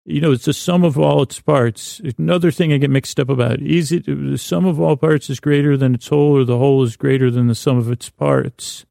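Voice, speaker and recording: Very creaky, meandering man with a mellow voice. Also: medium pitch, fairly even intonation, dry recording, clean studio sound. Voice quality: slurred, mumbly delivery with stumbled words. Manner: meandering, halting, keeps losing his thread. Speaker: host of a bedtime podcast. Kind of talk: rambling, absurd comic monologue.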